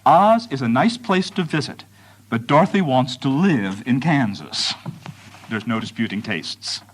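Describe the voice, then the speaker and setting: A man delivering a prepared lecture in measured, deliberate phrases, pausing for emphasis. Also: mid-range voice, sing-song, slight background noise.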